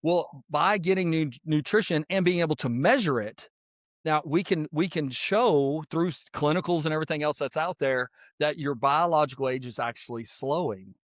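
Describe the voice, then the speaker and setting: Guy, 50, podcast host, kinda high voice, dry close sound, keeps repeating himself. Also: normal speed, dry recording. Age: 50s. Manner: energetic, repetitive, filler-heavy persuasive talk. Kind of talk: casual podcast monologue.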